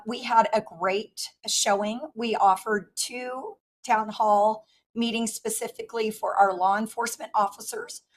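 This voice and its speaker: A woman in her fifties, hoarse from losing her voice, giving formal testimony as a regional director over a remote call. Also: medium pitch, slight background noise, poor audio. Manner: earnest, steady, with fillers. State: hoarse, lost voice. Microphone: remote call audio.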